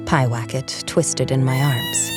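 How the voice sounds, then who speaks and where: A woman narrates a first-person tale in a notably low voice. Her delivery is measured and dramatic, with hushed phrase endings, and music runs clearly beneath it. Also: wildly swooping pitch. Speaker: audiobook narrator.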